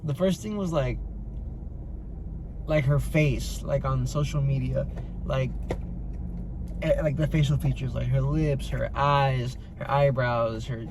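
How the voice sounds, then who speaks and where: A man chatting casually as co-host, relaxed and slangy. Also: medium pitch, slow, vocal fry, long pauses. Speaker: co-host answering Q&A. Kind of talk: casual couple chat. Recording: noisy background hum.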